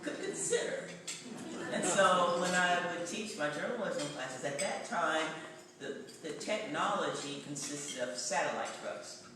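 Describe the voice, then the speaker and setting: Middle-aged Tennessee man, higher voice, wry lecturer, heard from afar in a noisy, echoing hall. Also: expressive, a little slow. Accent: Tennessee Southern. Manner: anecdotal, wry, conversational. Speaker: journalism professor giving a public talk. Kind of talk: public lecture. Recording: echoey hall, voice far from mic, background noise.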